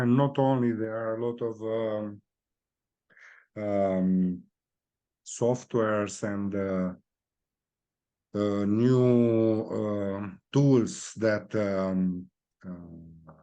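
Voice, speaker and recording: A man with a Romanian accent, a guest speaking in a halting way, often searching for words and filling pauses. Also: lowish voice, dark tone. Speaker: guest, real estate executive. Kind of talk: video interview.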